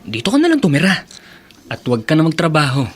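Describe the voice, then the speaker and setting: A man acting a scheming, smug young suitor with smooth, smirking delivery in a radio drama. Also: a little high, wildly swooping pitch, fast, heavily acted. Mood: coaxing.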